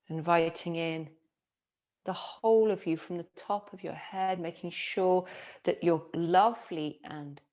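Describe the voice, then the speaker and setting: Slow-speaking woman guiding deep relaxation, low-pitched. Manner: soothing, gentle, unhurried with long pauses. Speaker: yoga nidra teacher. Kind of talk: guided relaxation meditation.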